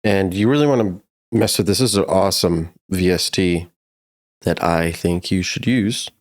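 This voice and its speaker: A man's voice: deep voice, normal speed. A man narrating a casual music production tutorial, explaining things step by step in an informal way.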